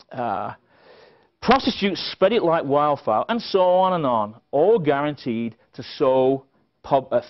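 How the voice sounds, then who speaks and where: A middle-aged man giving a prepared conference lecture, with wry, dry humorous asides and emphasis on quoted phrases. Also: medium pitch. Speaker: conference speaker. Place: indoor stage at a conference podium. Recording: slightly dull, band-limited event recording.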